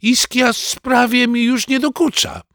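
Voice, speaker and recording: A man's voice: very high-pitched, level tone, unhurried, a bit bright, no echo, clean studio sound, pitched above the performer's own voice. A man voicing a doting, fussy old grandmother in a storybook reading, gushing and cooing. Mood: fussy, insistent.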